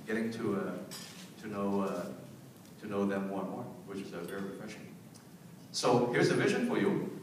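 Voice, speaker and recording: Low-voiced man speaking in an echoey hall. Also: a little hiss or hum. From Hong Kong. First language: Cantonese. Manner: emphatic, repeats key points, asks the audience to respond. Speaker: keynote speaker. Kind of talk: keynote speech to parents and students. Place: event hall. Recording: very echoey hall, mic at a distance.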